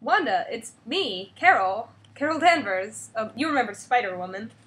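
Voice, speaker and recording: A woman's voice: fairly high pitch, lively intonation, moderate pace, fairly bright tone. A woman playing an insistent, bossy superheroine coaxing a friend, in an emphatic storybook read-aloud. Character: bubbly, bossy heroine coaxing her old friend. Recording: noisy webcam recording.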